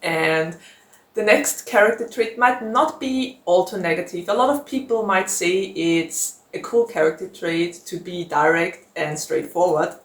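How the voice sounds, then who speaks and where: A woman's voice: lowish voice, measured pace. A woman whose first language is German, chatting casually to her viewers in a Q&A vlog, frank and laughing often. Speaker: book YouTuber. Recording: echoey room.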